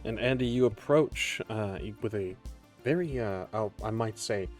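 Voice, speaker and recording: Low-voiced male game master. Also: measured pace, soft background music. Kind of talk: narrating a tabletop game.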